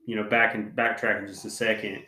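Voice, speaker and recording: A young man with a Southwest Virginia accent hosts a podcast. His voice sits on the low side, and he works through long, chatty questions full of fillers. The room gives his voice an echo. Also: level tone, fairly quick, bright, forceful tone. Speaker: podcast host. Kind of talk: casual podcast interview.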